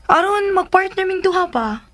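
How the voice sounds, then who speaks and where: A woman acting an eager, stubborn young daughter in a Cebuano radio drama, with an excitable, whiny way of talking. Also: extremely high pitch, normal speed.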